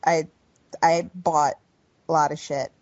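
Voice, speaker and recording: A woman chatting casually on a podcast in an excitable, loose, rambling way. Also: deep voice, slow, no echo. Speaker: podcast guest joining the chat. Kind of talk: casual chat. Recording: dry, muffled voice chat.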